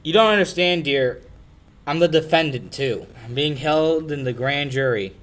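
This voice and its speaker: A man's voice: a little high, moderate pace, lightly acted. A young man playing a hapless, earnest young lawyer in a 1940s-style radio play, easily flustered. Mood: pleading. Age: young adult.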